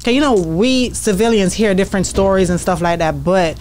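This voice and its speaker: A woman's voice: mid-range voice, a little fast, darkish tone, a little hiss or hum. A woman co-hosting a podcast, asking curious, chatty interview questions into a studio mic. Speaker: podcast co-host. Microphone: studio mic with headphones.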